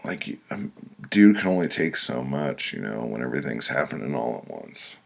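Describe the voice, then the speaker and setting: A man with a rough, gravelly voice reading his own crude, profane comic stories in a casual, blunt first-person way. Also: extremely low pitch, very animated, normal speed. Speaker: author reading their own audiobook.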